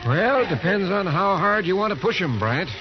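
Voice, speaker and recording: An actor playing a plain-spoken, skeptical cowhand with dry, folksy cowboy speech in an old radio western. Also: male voice, high voice, big pitch swings, normal speed. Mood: easygoing. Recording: muffled, noisy old radio recording.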